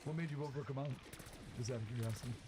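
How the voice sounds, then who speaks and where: A man's voice: medium pitch, very fast, background noise. A man hosting a panel debate, pressing with blunt, confrontational questions. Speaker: panel show host.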